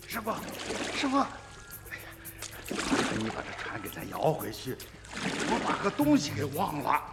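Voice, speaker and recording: A man's voice: on the high side, a little slow, gravelly, big-room sound, loud music behind the voice, exaggerated voice. An actor playing a watchful father who recounts his memories as dramatic radio drama narration. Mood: urgent. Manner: storytelling, expressive.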